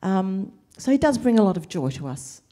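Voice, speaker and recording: A middle-aged woman, a carer and social worker, speaking earnestly on a panel, sleep deprived and emotional, with measured pauses. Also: medium pitch, very animated, mellow. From Lebanese family, raised in New Zealand and Australia. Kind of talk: panel discussion answers.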